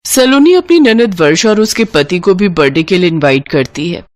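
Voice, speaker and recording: A woman's voice: fairly low pitch, expressive, moderate pace, a little hiss or hum. A woman giving scripted narration of a moral family story as its storyteller. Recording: voice over prominent music.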